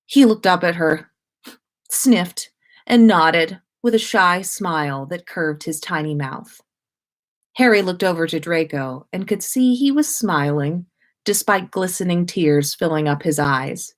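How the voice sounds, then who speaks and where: A woman's voice: on the low side, animated, measured pace. A woman reading fiction aloud as a storyteller, narrating for listeners. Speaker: host reading listeners' stories on a livestream.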